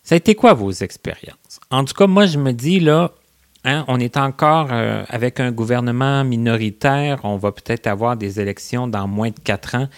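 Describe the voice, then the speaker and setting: A man's voice: medium pitch, moderate pace. A man hosting a casual interview, chatty with many fillers and restarts. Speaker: interview host.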